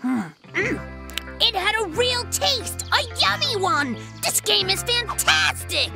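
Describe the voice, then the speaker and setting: Cartoon boy bear cub: very high, wildly animated, slangy show-off, music underneath. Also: takes it slowly, vocal fry, full character voice. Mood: thrilled. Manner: dramatic, boastful, slangy. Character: excitable young male bear cub obsessed with gaming. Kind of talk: children's cartoon voice acting. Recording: music behind the voice.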